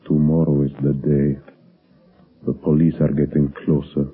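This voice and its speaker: Very deep, husky smuggler. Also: male voice, flat delivery, normal speed, soft background music, heavily acted. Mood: furtive, businesslike. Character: oily foreign male smuggler henchman, smugly businesslike. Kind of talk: 1930s radio drama acting. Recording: muffled, narrow-band 1930s radio recording.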